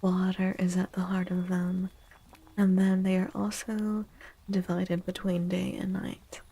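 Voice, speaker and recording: A woman streamer giving a soft-spoken ASMR tarot reading, gentle and unhurried, pausing between phrases and often slipping into a breathy whisper. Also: lowish voice, rough recording.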